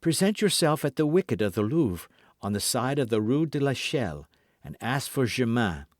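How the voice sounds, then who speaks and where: A man's voice: mid-range voice, sing-song, normal speed, no room sound, studio quality, close to an everyday voice. A man voicing an anxious young married woman in a period adventure audiobook, speaking in earnest, formal phrases. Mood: composed, instructing. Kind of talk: audiobook character dialogue.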